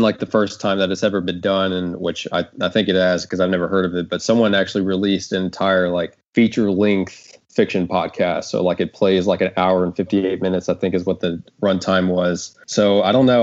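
A man casually hosting a panel discussion, halting and padding his sentences with filler words. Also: sits low, muffled recording. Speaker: host and creator of the podcast.

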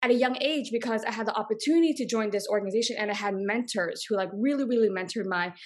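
A woman's voice: fairly high pitch, fast talker. A woman, talking casually and passionately as an interview guest, full of "like" fillers. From Boston, US. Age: 30s. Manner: chatty, passionate, many "like" fillers. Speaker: guest, coffee company founder.

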